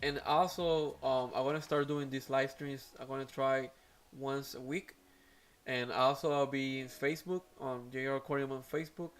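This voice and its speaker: A man's voice: mid-range voice, fairly even intonation, normal speed. A man whose first language is Spanish, speaking English with a Spanish accent as host of an aquarium live stream, talking casually with many fillers and restarts.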